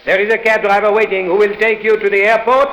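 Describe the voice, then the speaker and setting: An actor playing a stern, secretive spymaster who gives orders formally and deliberately in an old radio adventure. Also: male voice, sits high, level tone, a bit bright. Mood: commanding. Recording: reverberant old radio drama recording.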